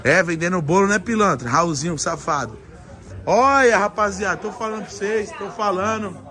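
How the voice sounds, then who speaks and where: A man's voice: high-pitched, normal speed, sharp tone. A young man hosting a live vlog, slangy and mock-serious as he eggs his friends on. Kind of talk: casual live-stream banter. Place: restaurant and street outside. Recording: busy restaurant crowd behind.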